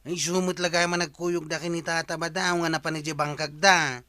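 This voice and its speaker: A man's voice: fairly high pitch, flat delivery, fairly quick, sharp tone, no echo. A man acting a nosy, disapproving village man in an Ilocano radio comedy drama. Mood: grumbling.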